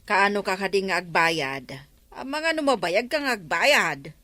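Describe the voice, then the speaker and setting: A woman's voice: medium pitch, lively intonation, measured pace, sharp tone, no echo. A woman playing a nagging, practical village woman in an Ilocano comedy drama, scolding with an Ilocano accent. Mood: wary, questioning.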